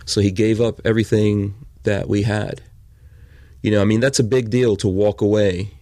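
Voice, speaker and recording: A relaxed, joking man from Guyana talks about his life in a casual podcast chat. His voice sits low and the recording is close and dry. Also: moderate pace, no echo. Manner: easygoing, joking. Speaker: podcast guest.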